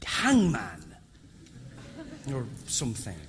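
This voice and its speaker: A man's voice: medium pitch, wildly swooping pitch, slow pace, big-room sound, slight background noise. An actor playing a hapless, bewildered card player, hesitant and apologetic with his friends in comic sketch dialogue. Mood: exasperated.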